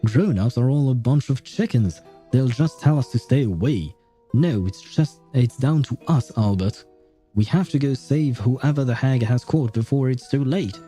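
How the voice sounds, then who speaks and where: A man's voice: medium pitch, normal speed, warm tone, faint music behind, natural voice. Man playing a brash, bossy, reckless boy. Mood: urgent. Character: bossy, reckless village boy taunting a witch.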